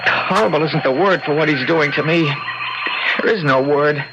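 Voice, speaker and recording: Actor as anguished man, highish creaky voice. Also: natural voice. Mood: bitter. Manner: halting, agonized. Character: anguished, weary, frightened ordinary man. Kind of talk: old-time radio drama acting. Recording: muffled old radio recording, very noisy.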